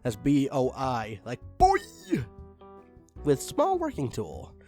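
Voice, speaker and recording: A man reading a badly written story aloud with mocking deadpan asides and spoken punctuation, over faint music. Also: mid-range voice, slow pace. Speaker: reader of online stories. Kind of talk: comedic dramatic reading with commentary.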